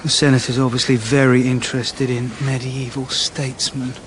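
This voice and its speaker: An actor playing a calm, dry senior detective in a radio drama, husky and understated. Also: male voice, medium pitch, normal speed, noisy background, lightly acted. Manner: understated, gently probing. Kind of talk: naturalistic radio drama acting.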